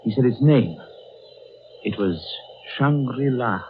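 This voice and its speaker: An actor playing a calm, authoritative British leader of stranded travellers in a 1930s radio drama, terse and composed. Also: male voice, mid-range voice, takes it slowly. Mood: grave, composed. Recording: muffled, noisy 1930s radio recording.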